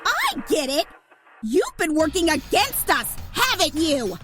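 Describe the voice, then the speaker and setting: A woman playing a hot-tempered, brash young woman in an audio drama, forceful and accusing. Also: extremely high pitch, wildly swooping pitch, takes it slowly, music in the background, full character voice. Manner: brash, forceful, shouting in exasperation.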